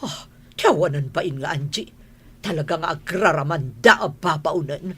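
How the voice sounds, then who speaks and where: A woman's voice: deep voice, moderate pace, breathy edge. An actress playing a domineering, haughty older mother in a radio drama, scolding and indignant. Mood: disdainful prying.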